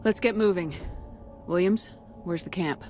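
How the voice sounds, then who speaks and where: A woman playing a brisk military commander in a video game, giving terse, commanding lines. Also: fairly low pitch, darkish tone, soft background music, natural voice.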